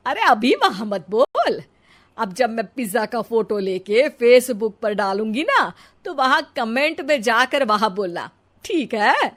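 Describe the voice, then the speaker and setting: A woman's voice: a little high, sing-song, measured pace, a bit bright, heavily acted. Woman acting a breezy, bossy village mother-in-law. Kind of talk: Hindi cartoon moral story acting.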